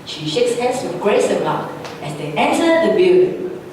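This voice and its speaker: A woman's voice: medium pitch, very animated, moderate pace, a little mellow. Woman narrator in a reverberant hall. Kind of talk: scripted stage narration. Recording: reverberant hall, distant mic, faint noise.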